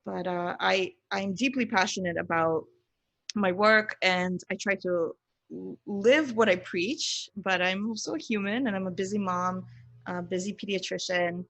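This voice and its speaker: A woman speaking as an expert guest in an interview, explaining in an earnest, measured way with emphasis on key words. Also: medium pitch, steady tone, vocal fry, fairly bright tone, no room sound. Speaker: podcast guest, pediatrician.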